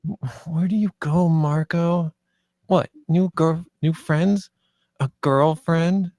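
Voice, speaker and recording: A woman as a mellow, guarded teen girl leader. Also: deep voice, slow, dry recording, clean studio sound. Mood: tense, reproachful. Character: tough, tired teenage girl leading orphaned kids. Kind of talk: screenplay table read.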